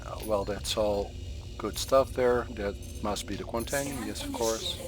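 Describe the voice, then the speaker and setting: A man from the Netherlands with a Dutch accent, calmly explaining step by step while playing a game for a tutorial. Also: on the low side, measured pace. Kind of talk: gameplay tutorial commentary. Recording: voice over game sound and music.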